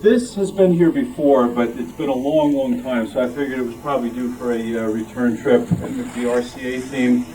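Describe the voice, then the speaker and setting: A man giving an informal show-and-tell talk as a club member, with a halting, rambling delivery. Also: medium pitch, warm tone, recorded from a distance. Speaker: club member presenting vintage items. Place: club meeting room. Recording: noisy room, picked up from afar.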